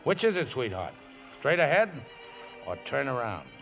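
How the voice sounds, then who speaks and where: Folksy, rough-hewn riverboat man. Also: mid-range voice, big pitch swings, moderate pace. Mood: grave. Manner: folksy, ungrammatical working-class speech. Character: rough, warm-hearted riverboat man, middle-aged, devoted and plucky. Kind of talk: 1950s radio drama acting. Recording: dull old radio recording with music.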